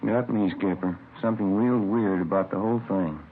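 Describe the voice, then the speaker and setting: An American actor playing an easygoing, chatty police detective sidekick with a folksy, casual manner in a 1950s radio crime drama. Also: male voice, on the low side, normal speed, natural voice. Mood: concerned. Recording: tinny, noisy old radio broadcast recording.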